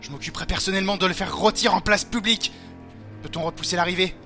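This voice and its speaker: A high-pitched, pompous cult leader. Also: male voice, fast, gravelly, bright, forceful tone, exaggerated voice. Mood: furious. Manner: pompous, declamatory sermonizing. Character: fanatical male cult leader, grandiose and wrathful. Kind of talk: French audio drama acting. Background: dramatic music.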